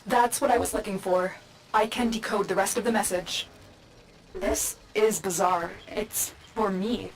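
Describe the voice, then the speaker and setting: An actress playing a calm, secretive female guide in a video game, giving measured, urgent instructions. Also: medium pitch, a little hiss or hum, close to an everyday voice, poor audio. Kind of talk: video game mission voice acting.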